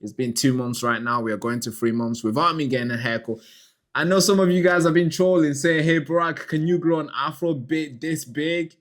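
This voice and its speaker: A man's voice: a little high, brisk, a bit bright. A man hosting his own channel, giving loose, chatty news commentary straight to camera, with asides to his viewers. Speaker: channel host.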